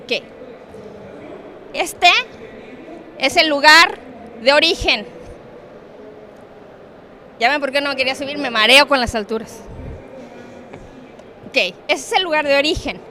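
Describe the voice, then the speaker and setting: Animated high-voiced Mexican woman lecturing. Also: unhurried, pauses often, a little hiss or hum. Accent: Mexican Spanish. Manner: animated, joking, asks audience questions. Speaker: conference speaker on international trade. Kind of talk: lively conference talk with props and asides. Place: conference hall.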